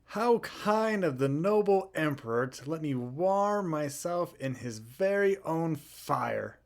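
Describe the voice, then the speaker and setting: A man's voice: on the high side, animated, slow pace, creaky, heavily acted. A man voicing good-natured, emotional brothers from a children's storybook in a theatrical way. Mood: blissful. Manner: theatrical, swooping.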